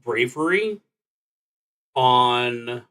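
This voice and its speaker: A man with a mid-pitched voice talks his way through a solo tabletop game on a livestream. His delivery is slow and deliberate, unscripted, often halting and restarting as he thinks out loud. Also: lively intonation. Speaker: solo roleplaying game streamer.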